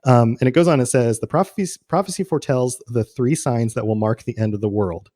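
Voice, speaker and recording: A man's voice: medium pitch, a little fast, dark tone. A man chatting informally and casually as a podcast host about game lore, often chuckling.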